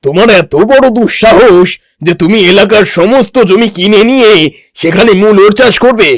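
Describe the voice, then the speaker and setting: A self-important village landlord from a cartoon, acted by a man. The male voice is pitched well up and speaks with emphatic, theatrical weight. The recording is close and dry. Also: moderate pace, dry recording. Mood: outraged. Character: proud village landlord uncle, genial but quick to outrage. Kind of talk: exaggerated cartoon dialogue.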